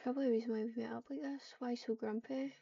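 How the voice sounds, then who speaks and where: Travelling warrior she-cat, played by a woman, conversational, slightly high and mellow, lo-fi noisy game recording. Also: fairly even intonation, quick pace, creaky. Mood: curious, familiar. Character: female cat, travelling warrior greeting an old friend. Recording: dull lo-fi mic, noisy, keyboard clicks.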